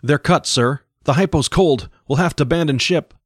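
Man putting on a shrill panicked crewman, high and loud, dry sound. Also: normal speed, breathy edge, no echo. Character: terrified young male ship's mate.

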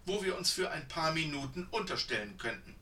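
A man's voice: a little high, lively intonation, brisk, sharp tone, echoey room, far from the mic, slight background noise. A man voicing a reluctant young woman hiker who grumbles and complains. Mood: grumbling. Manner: sulky, complaining.

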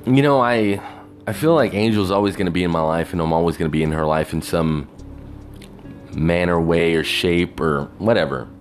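A man's voice: sits low, normal speed, slight background noise. A man talking alone in a rambling, reflective way, wistful and full of "you know", with faint music behind him. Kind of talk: rambling personal monologue.

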